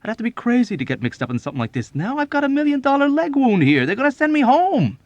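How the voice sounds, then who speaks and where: A man's voice: medium pitch, very animated, very fast, a little mellow, no echo, dull audio, voice pushed up, natural voice. A man voicing a wry, exasperated young bombardier in an audiobook war novel, speaking in an emphatic, sardonic manner. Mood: protesting.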